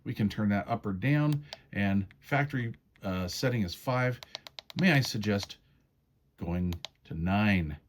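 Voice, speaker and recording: A man with a fairly low voice walks through a product's settings step by step. His delivery is casual and folksy, like a hands-on review. There is some faint noise and clicking behind him. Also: animated, measured pace. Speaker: radio reviewer demonstrating menu settings.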